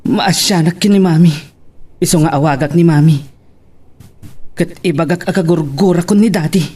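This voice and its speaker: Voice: mid-range voice, moderate pace, a little mellow, slightly rough, lightly acted. An actor playing a hurt, resentful child in an Ilocano audio drama, speaking indignantly and emotionally. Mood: resentful, pleading. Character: hurt, angry child Robin, resentful of the father. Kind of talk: Ilocano radio-style drama acting.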